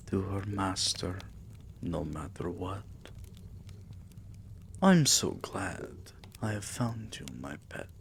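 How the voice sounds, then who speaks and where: A male actor voices a vampire king in an intimate ASMR roleplay, his voice very deep and thick with creak. He speaks very slowly in soft murmurs that slip toward whispers, possessive and tender with a menacing edge. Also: a little hiss or hum, exaggerated voice. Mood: possessive, fond. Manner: soft, slow, breathy murmurs dipping into whispers.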